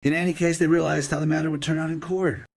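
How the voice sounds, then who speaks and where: Husky, matter-of-fact male investigator played by an actor. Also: on the high side, level tone, quick pace. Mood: sober, pressing. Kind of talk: crime radio play acting.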